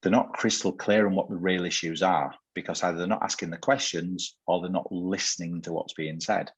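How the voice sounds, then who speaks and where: Low husky guy hosting a chat, earnest, keeps repeating words as he goes. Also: steady tone, brisk. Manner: earnest, repeats words while building a point. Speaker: host. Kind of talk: conversational interview.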